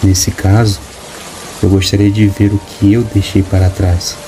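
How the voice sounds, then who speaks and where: A man's voice: fairly low pitch, level tone, a little slow, background noise, natural voice. Man voicing a reflective male time-traveller. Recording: music playing behind.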